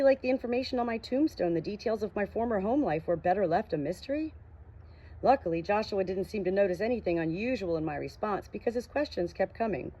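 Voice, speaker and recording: A woman's voice: lowish voice, dark tone, slightly rough. A woman reading a first-person novel aloud as an audiobook narrator. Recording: dull, muffled lo-fi audio.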